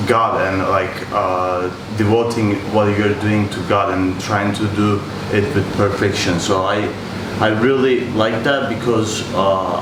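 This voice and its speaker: A man from Turkey in a yoga class, talking haltingly with many fillers. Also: fairly low pitch. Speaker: yoga student. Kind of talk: class introduction. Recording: distant roomy mic with loud background hum.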